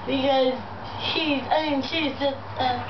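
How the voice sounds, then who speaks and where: A young woman hosting a homemade puppet show, improvising in a halting, rambling, enthusiastic way. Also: high-pitched, fairly even intonation. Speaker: amateur puppet show host. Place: room in a house. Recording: muffled, distant, noisy echoey home video.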